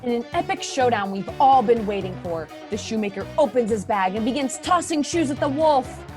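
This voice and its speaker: A woman's voice: a little high, lively intonation, music in the background. A woman narrating a stage play, playful and amused at her own jokes. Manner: playful, self-amused, slow.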